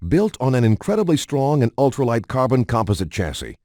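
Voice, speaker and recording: A man narrates a documentary in a polished, measured announcer style. His mid-pitched voice moves with lively intonation, and it is recorded dry, like a studio voice-over. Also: no room sound.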